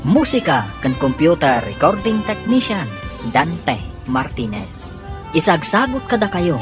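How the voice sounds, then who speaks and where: Low-voiced lady narrating a radio drama, lively and expressive, music playing under. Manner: expressive announcer delivery. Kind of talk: scripted narration. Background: clear background music.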